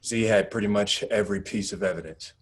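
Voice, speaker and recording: A man acting in a table read as a pragmatic, commanding activist leader, speaking in a measured, matter-of-fact way. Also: on the low side, natural voice. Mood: calculating. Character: pragmatic, commanding male activist leader Gus, planning a bluff.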